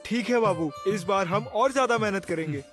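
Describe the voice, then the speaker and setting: Guy doing a humble farm worker, respectful, kinda high voice, music under. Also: normal speed, natural voice.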